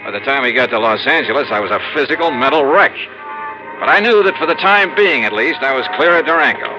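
A man's voice: mid-range voice, expressive, close to an everyday voice. An American man playing a harried, wisecracking insurance investigator in a 1950s radio detective drama. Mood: wry, harried. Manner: brisk, wry first-person storytelling. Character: wisecracking, harried male insurance investigator narrating his case. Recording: dull vintage radio recording, music.

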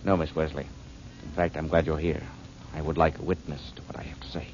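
An actor playing a calm, formal man who calmly announces a planned murder in a 1940s radio drama. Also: extremely low pitch, brisk. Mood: coldly calm. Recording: muffled old radio broadcast, faint hiss.

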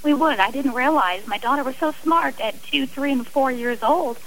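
A woman's voice: sits high, moderate pace, fairly bright tone, a little hiss or hum, poor audio. A woman speaking earnestly and effusively as a guest in a radio interview. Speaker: interview guest, abuse survivor and advocate.

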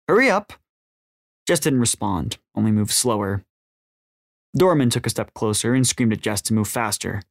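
Male audiobook narrator, low register, scripted storytelling, dry close recording. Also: creaky, dry recording.

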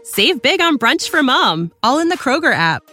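A woman's voice: sits high, big pitch swings, moderate pace, sharp tone, soft background music. A woman reading a scripted retail commercial voice-over. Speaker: advertising voice-over.

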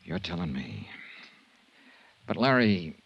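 An actor playing a tough, impatient insurance investigator in a 1950s radio drama, clipped, wry and blunt. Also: male voice, low-pitched, very animated, takes it slowly, gravelly, breathy edge, muffled recording, heavily acted. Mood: wry, guarded. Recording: vintage 1950s radio recording.

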